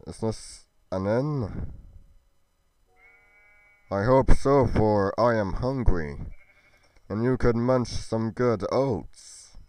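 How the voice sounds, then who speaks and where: Roleplay hero: man acting tired wanderer, soft, low and unhurried, gentle plain delivery, dry close sound. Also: no echo, rough recording. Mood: weary. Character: weary travelling hero talking to his horse. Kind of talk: amateur game roleplay drama.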